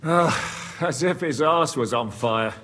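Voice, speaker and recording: An actor playing a crude, hearty, shrewd medieval war commander in a video game cutscene, speaking emphatically. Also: male voice, on the high side, slow, sharp tone, big-room sound, full character voice. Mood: gloating. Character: boisterous, foul-mouthed medieval war commander, shrewd and gloating.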